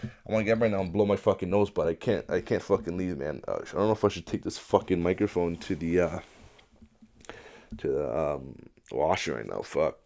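A very deep-voiced man with a blocked, stuffy nose rants alone into a desk mic. He swears nearly every sentence and rambles from thought to thought in an excitable, casual way. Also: fairly quick, vocal fry. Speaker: podcast host. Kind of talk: casual solo rant. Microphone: desk microphone at a laptop.

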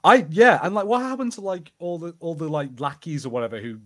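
A man's voice: fairly high pitch, sing-song, fast, a bit bright. A man co-hosting a podcast, chatting casually about pop culture and slipping into acted-out bits of imagined dialogue. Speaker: podcast co-host.

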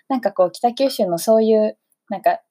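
A woman interviewer with a slightly rough, breathy voice at a middle pitch. She speaks politely and encouragingly in a casual radio chat, chiming in often. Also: a little fast, mellow. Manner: encouraging, polite, frequent backchannels.

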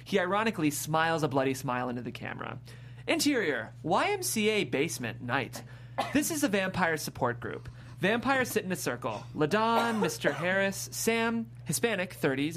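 A man's voice: a little high, fairly bright tone. A man narrating the stage directions at a comedy script table read. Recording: faint background noise.

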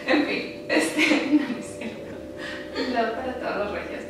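A woman's voice: fairly high pitch, normal speed, far from the mic, background noise. A young woman from Ciudad Juárez with a northern Mexican accent, chatting in a playful, slangy way with bits of English. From Ciudad Juárez, Chihuahua, Mexico. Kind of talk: casual chat with English slang mixed in. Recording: very echoey room, off mic, music behind.

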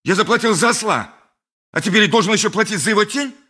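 A man's voice: high-pitched, animated, quick pace, pushed and bright, husky, exaggerated voice. An actor playing a haughty, exasperated town dentist who tells his tale dramatically in a radio play. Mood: indignant. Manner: dramatic, haughty, emphatic storytelling. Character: self-important, exasperated male town dentist, a traveller. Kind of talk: theatrical 1980s radio play narration and dialogue.

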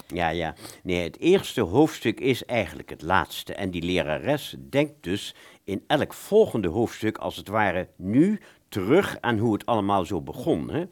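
A man's voice: low voice, sing-song, measured pace. An actor playing a courteous, bookish and slightly pompous male bookseller in a radio play, explaining things with some hesitation. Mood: apologetic.

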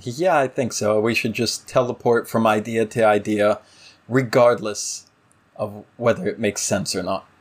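A man's voice: on the low side, steady tone, measured pace. A man co-hosting a podcast in a casual discussion, with a wry, playfully exaggerating way of talking. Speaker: podcast co-host.

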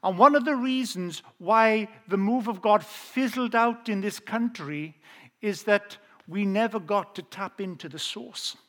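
High-voiced Welsh preacher, earnest. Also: male voice, bright, forceful tone. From South Wales. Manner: earnest storytelling, slowing down on key phrases. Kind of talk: testimony sermon.

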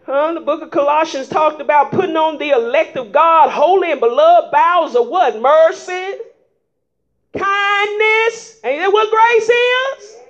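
A woman preacher with a strikingly high voice delivers a sermon in plain folksy speech. She takes her time and keeps prodding the congregation with calls of "huh?" as she rises into shouts. The recording is dull and lo-fi. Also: measured pace. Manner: emphatic call-and-response preaching with frequent "huh?" prompts; folksy vernacular, rising to shouts.

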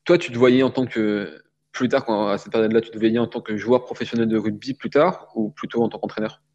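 A man interviewing a guest, asking quick, casual follow-up questions. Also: fairly low pitch, steady tone, darkish tone, highs cut off.

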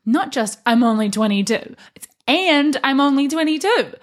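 A woman's voice: high voice, animated, normal speed. A young adult woman hosting a solo podcast, talking as a life coach in an earnest, encouraging and emphatic way. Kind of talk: solo motivational podcast talk.

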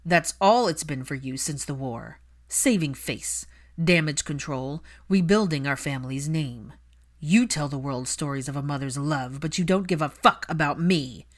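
Woman acting bitter, cold aristocratic man, low voice. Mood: furious. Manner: forceful, biting, deliberate. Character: bitter adult aristocratic son, cold, angry, resentful.

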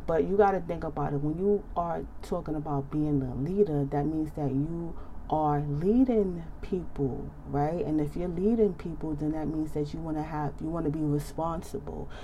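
Low, gravelly American woman giving advice. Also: fairly even intonation, moderate pace, mellow, a little hiss or hum. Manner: conversational, emphatic repetition, frequent check-in phrases. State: slightly hoarse, clearing throat. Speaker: advice speaker. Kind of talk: casual motivational advice talk.

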